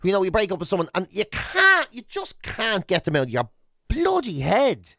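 A man's voice: high voice, moderate pace, gravelly, no echo, clean studio sound. An Irish man co-hosting a radio show in casual comedic chat, with a playful, teasing way of telling stories. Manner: playful, teasing, animated storytelling. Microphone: close studio radio mic.

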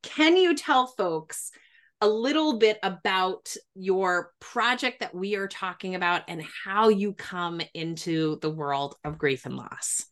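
A woman's voice: mid-range voice, slow, a bit bright. A woman hosting an interview in a casual, chatty, digressive way. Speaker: interviewer, podcast host.

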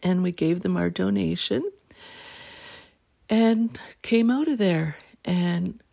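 A middle-aged woman from Canada, hosting a podcast and telling a family story in casual chat, clearing her throat as she loses her voice. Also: on the low side, slow, dark tone. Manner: laughing, self-deprecating jokes. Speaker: podcast host.

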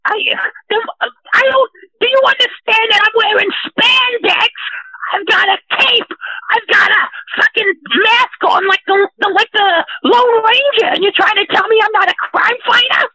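A caller voicing a boastful, trigger-happy self-styled vigilante superhero who shouts in a whiny, near-crying voice. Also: extremely high pitch, steady tone, normal speed, no room sound, exaggerated voice, low-quality recording. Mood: indignant. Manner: boastful, aggressive, rambling.

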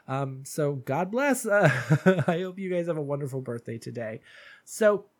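A man's voice: fairly high pitch, expressive, moderate pace. Stuffy, gushing man hosting a podcast. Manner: gushing and chatty, calls listeners darlings. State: stuffy from allergies. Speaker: podcast host. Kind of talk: casual solo podcast chat.

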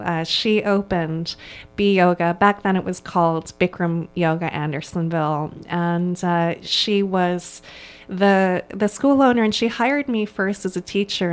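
Earnest, clear-voiced woman guest. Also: fairly low pitch. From Hong Kong. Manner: enthusiastic, earnest, with frequent ums and restarts. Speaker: yoga studio owner, guest. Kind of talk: conversational interview answers.

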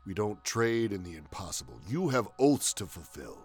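An actor playing a ruthless, imperious villainous commander with a gravelly voice in a sci-fi war audio drama. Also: male voice, fairly low pitch, sing-song, moderate pace, a little mellow, soft background music. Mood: commanding. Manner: menacing, authoritative.

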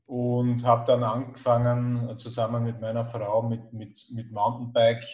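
A man's voice: medium pitch, monotone, a little slow. A man in his fifties with an Austrian accent, talking casually and thoughtfully as an interview guest. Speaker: podcast guest. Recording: dull, echoey remote-call sound.

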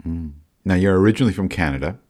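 A man interviewing a guest, asking questions in a halting way that stumbles over its phrasing. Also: sits low, darkish tone.

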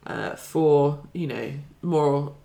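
A woman's voice: deep voice, drawn-out words, creaky, dark tone. A woman hosting a podcast in a jokey, giggly way, chatting casually and sometimes reading facts aloud. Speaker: podcast host.

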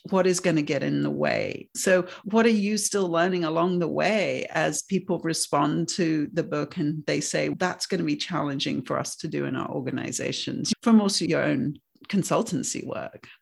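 Interview host: reflective and thoughtful, gravelly mid-range voice, asks long questions. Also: medium pitch, normal speed, hardly any pauses.

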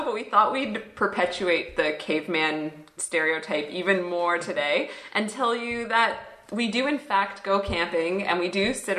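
A woman's voice: on the low side, sharp tone, roomy sound. A woman hosting a podcast in casual chat, bubbly and explanatory, laughing often. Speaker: podcast host.

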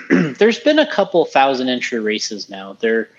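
A man's voice: mid-range voice, big pitch swings, unhurried, lo-fi sound, a little hiss or hum. A man co-hosting a casual podcast, chatting about an RC race in a loose, rambling way with lots of restarts. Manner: rambling, restarts often, easygoing. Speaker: podcast co-host. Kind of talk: casual chat, race commentary.

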